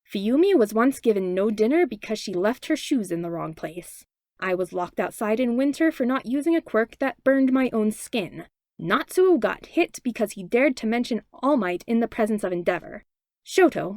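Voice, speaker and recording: A woman's voice: fairly high pitch, no room sound. A woman voicing a traumatized, defiant teenage boy in a fan fiction audiobook, bitter and indignant. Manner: deadpan turning to indignant growl.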